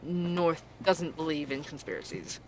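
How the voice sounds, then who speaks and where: Young investigative podcast host for audio drama; inquisitive, mid-pitched, background ambience. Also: lively intonation, a little slow, darkish tone, slight background noise, low-quality recording. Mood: wry, friendly. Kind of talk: audio drama dialogue and narration.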